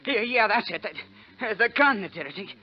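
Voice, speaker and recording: An actor playing a drunk, petulant young stepson in a 1940s radio drama, boozy, whining and stammering. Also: male voice, sits high, animated, fairly quick. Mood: confessing, nervous. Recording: noisy, dull 1940s radio recording.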